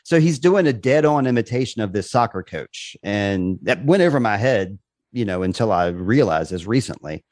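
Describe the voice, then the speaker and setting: A man's voice: lowish voice, animated, normal speed, a little mellow, no room sound, studio quality. A man hosting a podcast, recapping a film in casual chat and laughing often. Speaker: podcast host.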